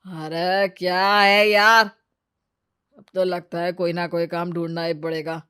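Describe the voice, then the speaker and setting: A woman voicing a lazy, irritable, jobless husband in a cartoon story, gruff and dismissive in manner. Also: fairly low pitch, flat delivery, measured pace, bright, forceful tone, voice pushed down. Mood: grumbling.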